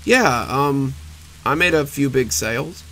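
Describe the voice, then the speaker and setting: An anxious young husband stumbles over his questions, his gravelly voice tight with worry, played by the male narrator. He repeats words as he presses for answers. Light rain hisses faintly behind him. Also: mid-range voice, lively intonation, measured pace, fairly bright tone. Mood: worried. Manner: anxious, stammering. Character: worried young husband stuck in traffic.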